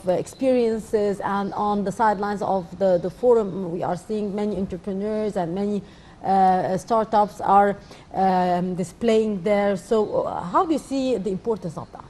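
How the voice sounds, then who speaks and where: A woman's voice: mid-range voice, warm tone, a little hiss or hum. A woman hosting a morning show, asking formal interview questions.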